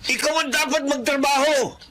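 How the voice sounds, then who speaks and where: A man's voice: very high voice, steady tone, normal speed, pushed and bright. An actor playing a stern older father in a Filipino radio drama. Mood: angry, scolding. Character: stern, angry older father scolding his son.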